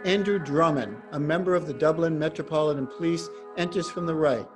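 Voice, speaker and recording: A male narrator with a slightly high voice reads scripted stage directions for a readers theater drama. Faint music plays behind him. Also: a little fast.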